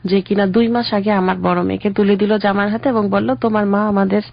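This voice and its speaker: Husky, creaky middle-aged Bangladeshi woman. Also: medium pitch, fairly even intonation, fairly quick, dull audio. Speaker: interview guest. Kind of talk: conversational personal storytelling.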